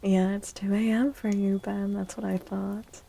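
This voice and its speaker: A woman speaking softly and gently in a breathy, soothing ASMR style, often slipping into a whisper as she chats with stream viewers. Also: medium pitch, level tone, a little slow. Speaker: livestreamer hosting an ASMR stream. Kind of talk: soft-spoken ASMR, chatting with viewers. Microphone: close microphone.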